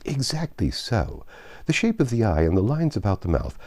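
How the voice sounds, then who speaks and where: A man doing a gushing, flattering gentleman suitor. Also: fairly low pitch, normal speed, voice pushed up. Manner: effusive, gushing, fawning. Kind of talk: audiobook reading of a classic novel.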